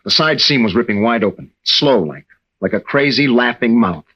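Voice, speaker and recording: An actor playing a troubled young American navy veteran and husband, speaking earnestly and haltingly in an old radio drama. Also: male voice, mid-range voice, expressive, fairly bright tone. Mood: haunted, confessional. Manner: halting, earnest, with stammered restarts. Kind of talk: 1940s-50s radio drama acting. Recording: dull old-time radio recording.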